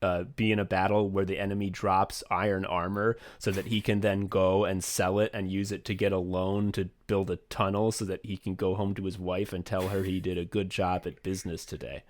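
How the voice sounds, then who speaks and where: Rambling, excitable young man swearing casually. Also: low voice, fairly quick, few pauses. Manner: rambling, profane, excitable, laughs often. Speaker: podcast co-host and writer. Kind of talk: casual chat.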